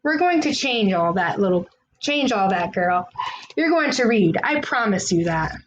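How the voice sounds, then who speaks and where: A woman's voice: mid-range voice, very animated, a little slow, muffled recording, a little hiss or hum. A woman voicing a kind, encouraging male schoolteacher in a children's storybook, speaking earnestly and with emphasis. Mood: tender, earnest. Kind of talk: children's storybook read-aloud.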